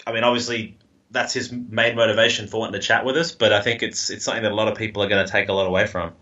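A man's voice: lowish voice, flat delivery, bright, forceful tone, highs cut off. A man in his mid-thirties co-hosting a podcast, tossing in jokes and wisecracks. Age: 30s. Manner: jokey, quick with wisecracks. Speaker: podcast co-host. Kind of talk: casual podcast chat.

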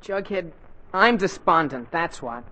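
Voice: mid-range voice, expressive, a little slow, sharp tone. An actor playing an earnest, melodramatic teenage boy in a 1940s radio comedy, with a hesitant, plaintive manner. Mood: despondent, indignant. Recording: muffled 1940s radio broadcast recording.

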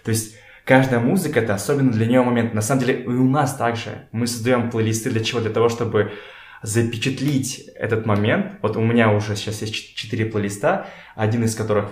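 A man talking casually as a podcast guest, telling things in a halting, slangy way and often repeating words. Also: lowish voice. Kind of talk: casual conversational storytelling. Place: in a room at a home. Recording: echoey room.

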